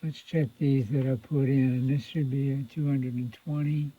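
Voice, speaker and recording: A man giving an informal, folksy repair demonstration, thinking aloud in a halting mutter as he works. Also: mid-range voice, flat delivery. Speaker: radio repair hobbyist presenting own repair video. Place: indoors at a repair workbench. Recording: muffled phone-like audio, lo-fi.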